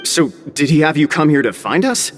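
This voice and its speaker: An actor playing a guarded, plain-spoken prison gang member in a video game. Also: male voice, on the high side, animated, a little fast, over music, close to an everyday voice. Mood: surprised. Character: guarded male prison gang member, tough, admiring follower.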